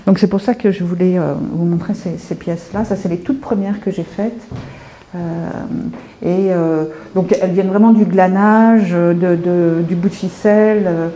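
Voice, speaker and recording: A woman in her fifties from France, an artist telling her story in an informal interview in a hesitant, halting, reflective way. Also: fairly low pitch, fairly even intonation, warm tone, slight background noise. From France, Paris suburbs. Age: 50s. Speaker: visual artist being interviewed. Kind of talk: informal guided-tour interview.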